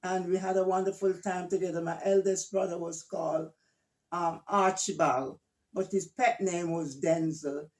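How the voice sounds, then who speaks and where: An older woman from Jamaica, telling her life story as a guest in a casual family interview, gentle and hesitant, often repeating her words. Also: lowish voice, steady tone, moderate pace.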